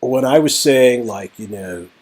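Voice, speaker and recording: A man's voice: mid-range voice, lively intonation, a little slow, a little mellow, slightly rough. A man playfully reading and playing a story game, casual and a little hesitant, often repeating himself. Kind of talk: casual playthrough with narration read aloud.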